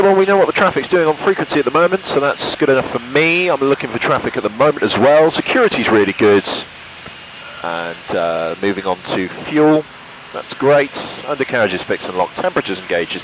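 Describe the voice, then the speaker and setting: A man talks through his flying in a chatty, explanatory way, with an ordinary mid-range pitch. Engine noise from a light aircraft runs behind his voice. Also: lively intonation, a little fast, poor audio. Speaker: pilot and vlogger. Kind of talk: casual flight commentary and radio calls. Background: aircraft engine hum.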